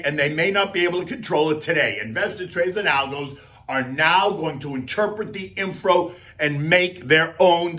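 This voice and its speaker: A man with a scratchy, hoarse voice giving emphatic, conversational market commentary as a host, full of rhetorical questions and folksy asides. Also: high-pitched, fairly bright tone. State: hoarse, losing voice. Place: outdoors.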